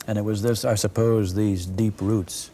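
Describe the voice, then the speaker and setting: A male television host asking long, measured questions with some hesitation. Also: on the low side, steady tone, warm tone. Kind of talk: interview questions. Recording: faint hiss, 1970s TV studio.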